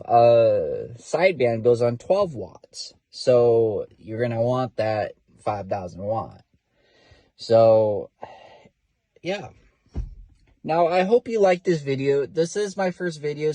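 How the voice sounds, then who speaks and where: A man of middle pitch vlogs from the driver's seat of his car, chatting loosely and circling back with fillers and restarts. The sound is dry and close, with no room echo. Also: darkish tone. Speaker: vlogger, CB radio hobbyist. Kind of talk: casual first-time vlog.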